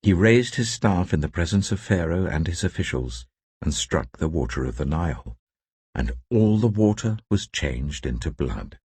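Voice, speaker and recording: A man reading scripture as a solemn, deliberate audio Bible narrator, in a scripted dramatic storytelling style. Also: deep voice, expressive, darkish tone, slightly rough, no echo.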